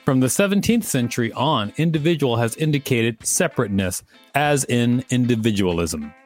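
A man's voice: medium pitch, expressive, normal speed, faint music behind. A man co-hosting a podcast, reading an article aloud with a dry, wry delivery.

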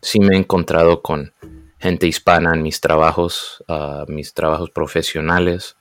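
A young man raised in the United States, speaking casually and often pausing to search for words. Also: a bass voice, level tone, dry recording, clean studio sound. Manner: hesitant, searches for words, mixes in English. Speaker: guest. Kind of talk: casual conversation.

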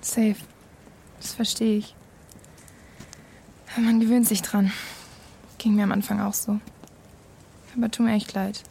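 Flat, creaky young activist woman. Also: medium pitch, a little hiss or hum. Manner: laid-back, slangy, understated. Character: cool, pragmatic young female climate activist.

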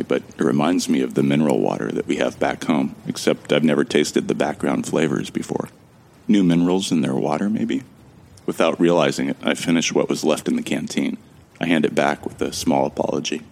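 Super low gravelly guy telling his own story in an audio drama, friendly, kinda wry, amnesiac stranger. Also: brisk, darkish tone. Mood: reflective. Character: Jake Fisher, amnesiac man, observant and friendly. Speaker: narrator and lead character of an audio drama. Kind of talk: first-person dramatic narration.

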